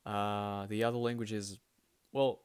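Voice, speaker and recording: A man's voice: lowish voice, little pitch movement, slow pace, clear, resonant tone, no room sound. Man, light russian accent, native russian speaker, casual q&a, hesitant with fillers, dry close sound. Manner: hesitant, many fillers and restarts. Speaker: language learner answering viewer questions. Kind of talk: casual spoken Q&A.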